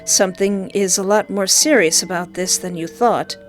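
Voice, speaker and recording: Gal reading a murder game out loud, cracking sarcastic jokes, quiet game music behind. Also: female voice, mid-range voice, fairly even intonation, normal speed. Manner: sarcastic, joking asides and squealing panic. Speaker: let's play gamer. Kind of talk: reading a visual novel aloud with reactions.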